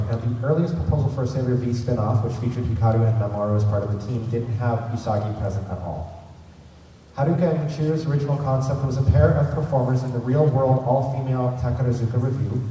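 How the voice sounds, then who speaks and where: A man's voice: medium pitch, dark tone. Man presenting in a very echoey hall. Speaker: fan convention panel host. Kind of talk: casual trivia panel presentation to an audience. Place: large convention centre room. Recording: echoey hall, distant mic, faint noise.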